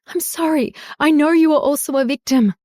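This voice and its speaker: A woman voicing a distraught young wife who pleads in a voice that climbs high when she is upset. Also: fairly quick, a little mellow, no room sound, studio quality, natural voice. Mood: tearful.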